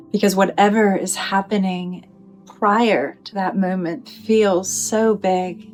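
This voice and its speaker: A woman leading a guided meditation in a gentle, soothing manner, with deliberate phrasing and pauses. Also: medium pitch.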